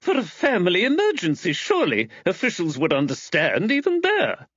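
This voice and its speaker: A man's voice: high voice, huge pitch swings, normal speed, a bit bright, husky, dry recording, pitched above the performer's own voice, heavily acted. A man voicing a prim, nosy village spinster with an indignant, insistent manner in an audiobook, on slightly dull audio.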